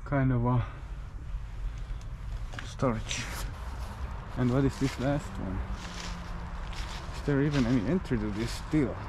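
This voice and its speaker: A man whose first language is Finnish explores an abandoned house outdoors, musing to his handheld camera at an unhurried pace. He often trails off and restarts as he notices things. Steady outdoor noise runs beneath his mid-pitched voice. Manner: musing, thinks aloud with restarts. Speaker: urban explorer. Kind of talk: casual walkthrough commentary. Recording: noisy outdoor camera audio.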